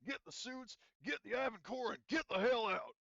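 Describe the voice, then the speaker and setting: A man's voice: extremely high pitch, normal speed, creaky, a bit bright, dry recording, lo-fi sound, pitched above the performer's own voice, exaggerated voice. A man voicing a demanding male crew leader who barks emphatic orders in a tabletop game. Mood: urgent, demanding. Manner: emphatic, commanding.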